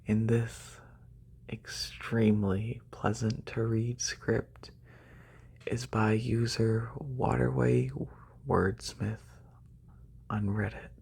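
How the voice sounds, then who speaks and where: Male ASMR creator, soft whispered delivery, formal and courteous, slow with gravelly fry. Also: lowish voice, fairly even intonation.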